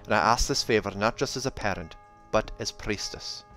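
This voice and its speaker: A man voicing a gruff, protective old dwarf with rolled Rs, in the manner of a fantasy audiobook. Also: on the low side, normal speed, a bit bright, natural voice. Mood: sorrowful. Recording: music behind the voice.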